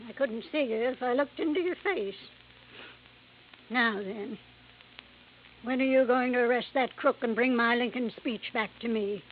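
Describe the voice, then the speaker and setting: A thin vintage radio recording carries a woman playing an elderly matriarch. Her highish voice is crotchety, commanding and blunt, in old radio-drama style. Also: moderate pace, long pauses, lightly acted. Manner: curt, commanding, cantankerous. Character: imperious, blunt, crotchety elderly blind grandmother. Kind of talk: 1950s American radio drama acting. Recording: tinny vintage radio with faint noise.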